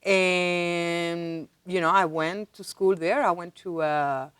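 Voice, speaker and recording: A woman from Spain speaks as a guest in a casual interview. Her pitch is a little low. The sound is dry and close, as in a studio. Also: fairly even intonation, takes it slowly, fairly bright tone, clean tone, no echo. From Madrid, Spain. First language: Spanish. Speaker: interview guest, university director.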